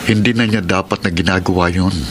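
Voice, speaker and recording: Actor as a low-voiced, wounded Filipino husband, noisy recording. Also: male voice, fairly even intonation, a little fast, natural voice. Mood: aggrieved, confiding. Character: troubled, wounded husband suspecting his wife of cheating. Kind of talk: Filipino radio drama acting. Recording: noisy radio drama recording with ambience.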